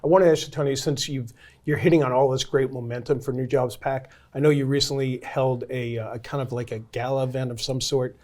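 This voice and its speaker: A man's voice: mid-range voice, steady tone, fairly quick, darkish tone. A man hosting an interview show, speaking conversationally as he questions a guest and links segments. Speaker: talk show host.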